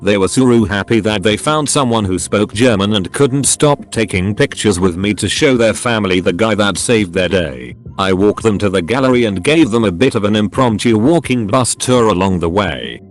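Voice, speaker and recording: A man with a fairly low voice reads online posts aloud, edit notes included, his pitch rising and falling a lot. He stays even and uninvolved throughout. Soft music plays quietly behind him. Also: moderate pace. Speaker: narrator. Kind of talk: reading Reddit posts aloud.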